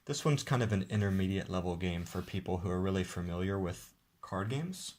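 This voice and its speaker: A man, the game's designer, walking viewers through how to play his card game in a relaxed, explanatory way. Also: deep voice, fairly quick. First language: English. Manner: relaxed, explanatory, with occasional restarts. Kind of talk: casual tabletop game demonstration.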